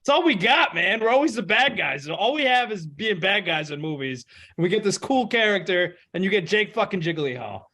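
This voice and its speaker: A man's voice: sits high, big pitch swings, fairly quick, hardly any pauses, pushed and bright. A man co-hosting a podcast, chatting about movies in a laid-back, sweary way. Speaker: podcast co-host. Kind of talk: casual chat about movies.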